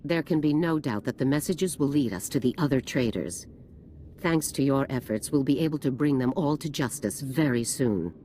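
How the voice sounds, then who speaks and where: An actor voicing a stern commanding officer who gives brisk, authoritative military orders in a video game. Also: medium pitch, dark tone, a little hiss or hum, natural voice.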